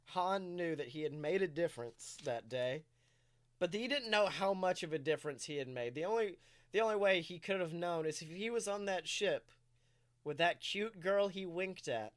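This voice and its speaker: A man co-hosting a podcast, chatting casually in an excitable, jokey storytelling manner. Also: high-pitched, normal speed. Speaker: podcast co-host. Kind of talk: animated casual podcast chat.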